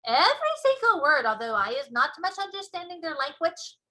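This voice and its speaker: Casting: woman to play an emphatic, proud storybook giant, easily offended, forceful tone, quaint broken grammar and nonsense words. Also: extremely high pitch, big pitch swings, fairly quick, pitched above the performer's own voice, exaggerated voice. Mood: boastful wonder. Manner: folksy muddled grammar with whimsical invented words.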